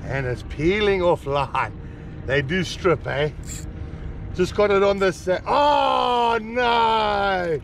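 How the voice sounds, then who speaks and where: Gravelly-voiced man cheering from a kayak. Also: sits high, slow pace. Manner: excited whoops and cheering while fighting fish. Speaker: kayak angler. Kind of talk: casual fishing commentary. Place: kayak on open sea, outdoors. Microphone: action camera on the boat. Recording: outdoor camera with wind and water noise.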